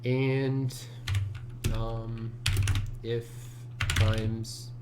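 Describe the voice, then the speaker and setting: A man with a low morning voice, muttering to himself as he gives casual think-aloud commentary while coding, often trailing off mid-thought. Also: extremely slow. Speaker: competitive programmer narrating a screencast. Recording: steady hum and keyboard typing noise. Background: computer keyboard typing, hum.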